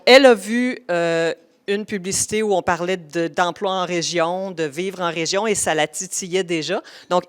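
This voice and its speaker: A woman with a Québécois accent, a regional agent giving an enthusiastic, informative presentation that runs through lists with frequent restarts. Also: medium pitch. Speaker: regional youth settlement agent.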